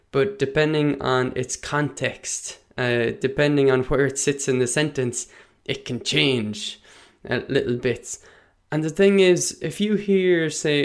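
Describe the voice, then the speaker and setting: A man with an Irish Munster accent teaching about the Irish language in a casual, thoughtful way, pausing often with fillers and correcting himself. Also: on the high side. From Ireland. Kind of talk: casual spoken lesson, talking to the listener.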